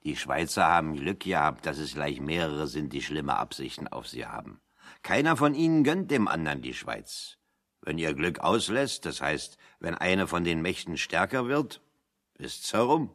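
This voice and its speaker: An actor playing a wry, talkative man in a German radio play, speaking in a deliberate, ironic, anecdotal way. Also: very deep voice, sing-song, a bit bright, heavily acted. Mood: dry irony.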